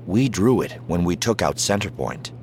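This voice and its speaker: A man voicing a calm, determined Jedi commander in an audiobook, speaking with controlled, persuasive assurance. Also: deep voice, moderate pace, a little hiss or hum. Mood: insistent.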